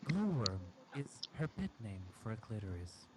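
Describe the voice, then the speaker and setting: Man reading aloud over clear background noise. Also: on the low side, big pitch swings, moderate pace. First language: Portuguese. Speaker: reader of a story. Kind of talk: amateur story reading with asides to friends. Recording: clearly noisy amateur recording.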